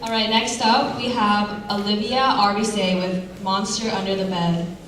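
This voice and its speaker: A woman hosting a poetry slam, announcing the judges' scores in a drawn-out, upbeat way and calling up the next poet. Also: mid-range voice, fairly even intonation, heavy background noise. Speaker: event host. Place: large echoey hall. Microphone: far from the recording mic. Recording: very echoey hall recorded from far off.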